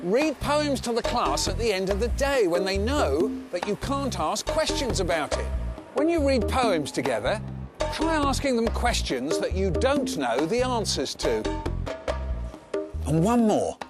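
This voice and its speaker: A man presenting a teaching programme, speaking in a lively, expressive way. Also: high-pitched, unhurried, loud music behind the voice. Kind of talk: scripted presenting to camera.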